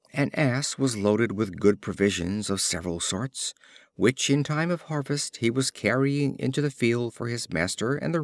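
A man narrating fables as an audiobook reader, in a deliberate, theatrical storytelling style. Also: medium pitch, creaky, no room sound.

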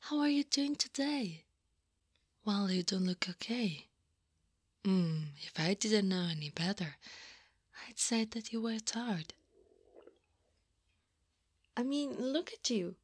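Woman as a slow, breathy, caring older centaur lady. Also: medium pitch, lively intonation, dry recording. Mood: caring, insistent. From Italy. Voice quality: breathy, often whispering. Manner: slow, soothing, softly teasing. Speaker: ASMR roleplay performer. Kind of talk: ASMR roleplay.

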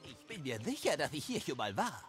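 A man's voice: fairly high pitch, sing-song, a little fast, a bit bright, soft background music. A man voicing a troubled townsperson who asks heroes for help in a cartoon game. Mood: troubled, urging.

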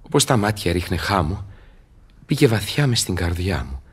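An actor in a radio drama playing a haughty, impatient, ardent seducer with a declamatory delivery. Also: male voice, low-pitched, very animated, breathy edge, heavily acted. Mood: smitten. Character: learned man turned impatient, ardent, imperious seducer. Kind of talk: theatrical radio drama acting.

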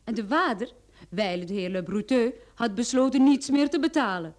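An actress playing a sharp, confident female private detective in a radio play, explaining things in an assured, theatrical way. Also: on the high side, animated, normal speed, natural voice. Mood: confident, amused. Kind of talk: Dutch-language radio drama acting.

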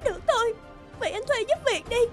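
A woman's voice: very high voice, animated, fast talker, fairly bright tone, soft background music. A woman voicing a hurt, weary young housewife and mother in a cartoon, plaintive and emotional. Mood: hurt, indignant. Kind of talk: animated moral-tale voice acting.

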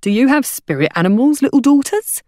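A woman voicing a grinning male hawker for an audiobook, slick and taunting, with loud wheedling sales patter. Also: sits high, sing-song, brisk, no room sound, clean studio sound, pitched above the performer's own voice. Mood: slick, insistent. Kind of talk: audiobook character voice.

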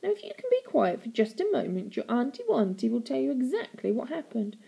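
Wicked storybook aunt female reader: cruel, gloating, patronising, theatrical, with creaky edges. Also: fairly high pitch, sing-song, brisk, warm tone, pitched above the performer's own voice, heavily acted. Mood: sickly sweet. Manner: mocking baby talk, theatrical. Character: gloating villainous aunt, mocking, cruel and sickly-sweet.

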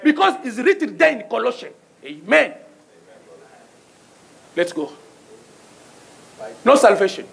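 A man's voice: very high voice, big pitch swings, slow pace, creaky, pauses often, a bit bright, breathy edge. A middle-aged man with a French accent preaching a sermon from the pulpit of a church and calling out "Amen?" to the congregation. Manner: call-and-response, asks the congregation "Amen?". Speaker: guest preacher. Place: church sanctuary, small stage with pulpit. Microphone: handheld mic at pulpit.

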